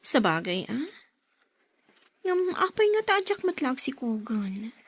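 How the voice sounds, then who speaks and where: High, animated young Ilocano wife played by a woman. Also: a little slow, breathy edge, exaggerated voice. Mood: polite, questioning. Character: young wife, affectionate, thrifty, easily surprised. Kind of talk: Ilocano radio drama acting.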